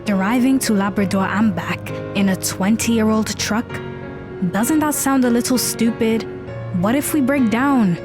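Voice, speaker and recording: A woman's voice: on the high side, unhurried, over music, lightly acted. A woman playing a guarded, blunt teenage daughter in an audio drama. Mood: guarded, dismissive.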